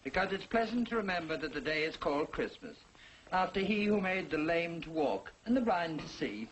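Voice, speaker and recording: A kindly, earnest clerk devoted to his family. His intonation is very lively, in a vintage television drama with clear background noise. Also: fairly high pitch, moderate pace. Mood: cheerful. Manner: gentle, earnest, expressive. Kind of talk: 1940s television drama acting. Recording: noisy old 1940s TV soundtrack.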